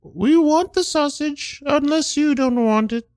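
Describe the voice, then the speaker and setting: A woman plays a defensive monarch who commands an army in an improvised comedy. The voice is very high and close and dry. Each proclamation comes slowly, with mock gravity. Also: darkish tone, no echo. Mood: solemn, warlike. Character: defensive, warlike monarch commanding seventeen soldiers.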